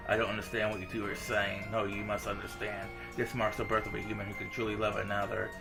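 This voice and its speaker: Man, game streamer, reading story dialogue aloud, flat intonation, creaky low voice, music behind. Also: fast talker. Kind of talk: reading visual novel dialogue aloud with casual reactions. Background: visual novel game music.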